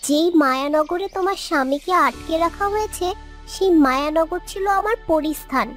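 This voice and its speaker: A tiny, very high voice belongs to a captive young fairy in bird form, acted by a woman. She speaks earnestly and helpfully, in fairy tale cartoon style, with faint music underneath. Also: moderate pace, lightly acted. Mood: sorrowful, earnest.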